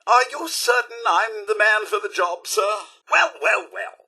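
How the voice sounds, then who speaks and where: A fussy, loyal robot butler from a video game, with a British accent. The voice is very sharp and forceful, pitched high and swooping wildly from line to line. He is pompous and servile, with a dryly sarcastic edge. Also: very animated, unhurried, gravelly, breathy edge, heavily acted. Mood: forced cheer, weary, then eager, polite. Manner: pompous, over-polite, exclamatory, servile. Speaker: companion character in a video game. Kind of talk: theatrical video game voice acting.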